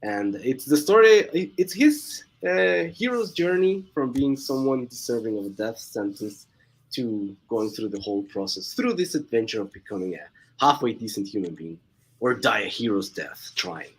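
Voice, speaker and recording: Swiss-Mexican-accented young guy, guest on a stream, lively up-and-down pitch, laughs a lot. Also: moderate pace, slightly rough, low-quality recording. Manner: chatty, laughs often, restarts words. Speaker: guest comic creator. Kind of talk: casual chat on a live stream.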